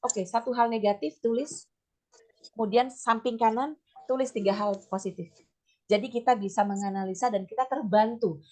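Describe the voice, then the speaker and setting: Middle-aged woman, fairly high voice, slightly hoarse, chatty informal trainer, explains step by step. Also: normal speed, darkish tone. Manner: chatty, explaining step by step, sprinkles in English terms. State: slightly hoarse, voice not back to normal. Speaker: teacher giving a workshop. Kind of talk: informal training talk with personal examples.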